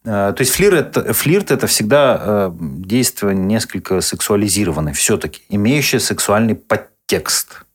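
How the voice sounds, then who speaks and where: Husky, lively forty-year-old man. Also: fairly low pitch, moderate pace, hardly any pauses. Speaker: podcast host. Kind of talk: casual podcast hosting.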